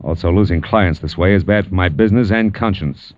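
An actor with an American accent playing a wry, world-weary private detective in an old radio noir drama, speaking in a terse, sardonic way. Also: male voice, low-pitched, fairly quick, background noise, close to an everyday voice. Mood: blunt, resolute. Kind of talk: 1940s-50s radio noir narration and acting. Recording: dull vintage radio broadcast recording.

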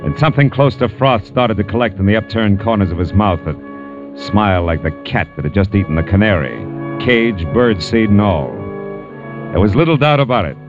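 An actor with an American accent playing a wry, world-weary private detective in an old radio noir drama, speaking in a terse, sardonic way. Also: male voice, on the low side, expressive, fairly quick, music in the background, natural voice. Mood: wry narration. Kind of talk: 1940s-50s radio noir narration and acting. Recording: dull vintage radio broadcast recording.

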